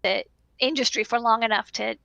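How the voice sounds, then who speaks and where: Woman with creaky, fairly high voice. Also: steady tone, fairly quick, fairly bright tone, no room sound. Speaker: electronics business owner giving advice. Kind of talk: casual livestream chat. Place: small indoor room. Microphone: headset.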